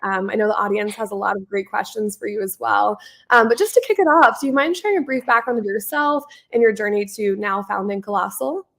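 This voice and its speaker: A woman's voice: mid-range voice, brisk, creaky, a bit bright. A young adult woman hosting a live online Q&A, upbeat and friendly, a little stuffy from a head cold, talking over a video call. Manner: upbeat, friendly, with frequent ums. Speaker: host, venture principal.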